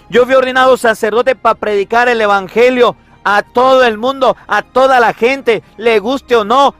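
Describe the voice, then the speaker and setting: High-pitched male preacher in echoey room. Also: a bit bright. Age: 30s. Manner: emphatic, repetitive, with rhetorical questions. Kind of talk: sermon on the Gospel.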